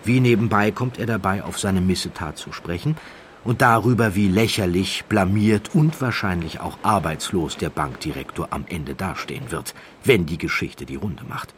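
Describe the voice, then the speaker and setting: A man narrating an audiobook story in a measured, deliberate way with theatrical emphasis, close to a studio microphone. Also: deep voice, slight background noise. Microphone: close studio microphone, dry.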